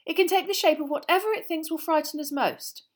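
A woman's voice: high-pitched, a little fast, pitched above the performer's own voice, lightly acted. A woman voicing a keen, clever schoolgirl in a storybook, answering eagerly and precisely. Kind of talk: acted audiobook dialogue.

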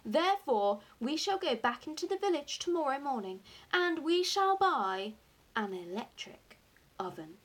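A woman voicing a jubilant, boastful father in a storybook, excited and grandly emphatic as key words are drawn out. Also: high voice, measured pace, pitched above the performer's own voice. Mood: grand, enthusiastic. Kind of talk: acted audiobook dialogue.

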